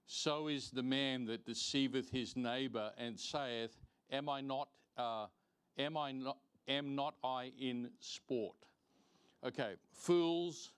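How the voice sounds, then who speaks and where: Man preaching, emphatic and deliberate. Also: medium pitch, a bit bright, clean tone. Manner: emphatic, deliberate, repeats phrases for stress. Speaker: preacher teaching Sunday school. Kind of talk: sermon, Bible teaching with verse reading. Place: church, live-streamed service.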